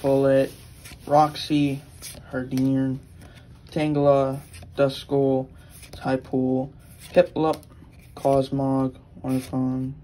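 A man's voice: medium pitch, monotone, mellow, poor audio. A young man casually listing trading cards as he flips through packs, eager for a good pull. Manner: rattles off card names in a quick list, eager. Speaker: channel co-host. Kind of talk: casual card-opening chat.

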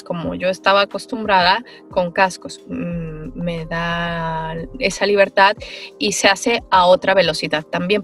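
A woman, a voice actress answering interview questions on a video call, thoughtful and animated, with many pauses and fillers. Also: on the low side. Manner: animated, gestures while talking. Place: small room with foam panels.

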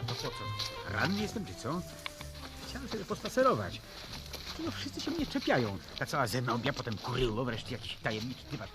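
An actor playing a weary, grumbling but kindly adventurer detective in a 1970s radio play. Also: male voice, mid-range voice, sing-song, brisk, over music, close to an everyday voice.

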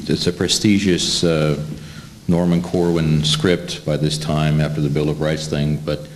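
A low-voiced American man interviews a guest at an informal panel. He feels his way through long questions, rephrasing as he goes, with faint noise on the recording. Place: indoor panel table in a plain room.

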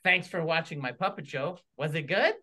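A man voicing an excitable, self-conscious furry monster puppet in a live puppet show. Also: a little high, brisk. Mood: proud, excited.

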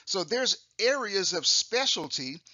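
A man in his sixties, an optometrist, talking through a slide lecture with a raspy voice, clearing his throat often, in a chatty, upbeat way full of fillers and restarts. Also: high-pitched, big pitch swings, a little slow, pushed and bright, no echo, lo-fi sound. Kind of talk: recorded continuing-education lecture. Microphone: voice-over on slides.

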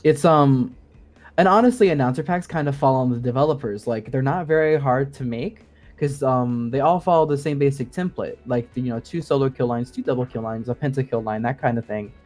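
A man's voice: mid-range voice, brisk, a little mellow, soft background music. A man talking casually and in technical detail as a guest co-host on a gaming podcast, in an informal, hedging way. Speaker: podcast guest co-host explaining game sound design.

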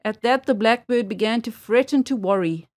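A woman storyteller reading a children's tale aloud with expressive storybook phrasing. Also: fairly high pitch, normal speed.

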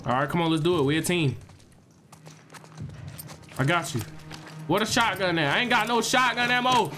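A young man with a stuffed-up nose giving animated, joking gameplay commentary to his viewers. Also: high-pitched, moderate pace, soft background music. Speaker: gaming streamer.

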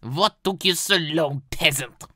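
A man's voice: a little high, a little slow, bright, forceful tone, dry recording, clean studio sound. A teenage boy voicing a scheming, bad-tempered robber baron in an audio play, haughty and barking orders. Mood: scheming, scornful. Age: teens.

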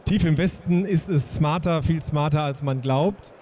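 A man's voice: on the high side, unhurried, dark tone, a little hiss or hum. A man in his fifties hosting a trade fair session on a handheld mic, friendly and hesitant with many fillers. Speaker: session host. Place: trade fair booth indoors.

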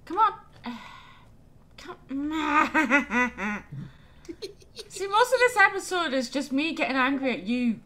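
Bright-voiced woman playing a game. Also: high-pitched, very animated, slow, long pauses. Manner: chatty, easily startled, exclaims at the game. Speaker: let's play host. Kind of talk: casual gameplay chat.

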